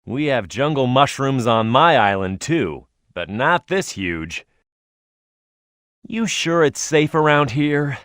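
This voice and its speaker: A man's voice: medium pitch, big pitch swings, slow, a bit bright, dry recording, clean studio sound. A man playing a tough, gung-ho echidna brawler in a video game, brash and punchy. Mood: gung-ho, excited. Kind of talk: video game in-action voice lines.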